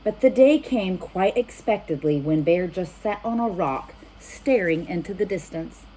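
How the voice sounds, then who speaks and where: A woman's voice: fairly low pitch, expressive, unhurried, warm tone, slight background noise, low-quality recording. A woman reading a picture book aloud as a children's storyteller, speaking directly to young listeners. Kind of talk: animated picture-book narration with asides to children.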